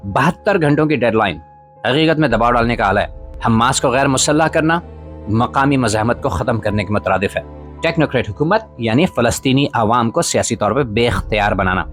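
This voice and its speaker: A man's voice: medium pitch, lively intonation, normal speed, fairly bright tone. A man narrating scripted political commentary as a podcast host, in a formal, literary manner with rhetorical emphasis. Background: background music.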